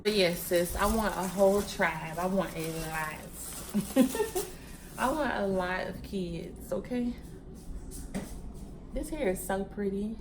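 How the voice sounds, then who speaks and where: A woman in her mid-twenties talks casually straight to her viewers, looping back over phrases as she goes. Her pitch sits in the middle range, and faint noise hums behind her at home. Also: slow, long pauses. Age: 20s. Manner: chatty, repeats phrases, addresses viewers as y'all and sis; packing wigs while talking. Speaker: vlogger.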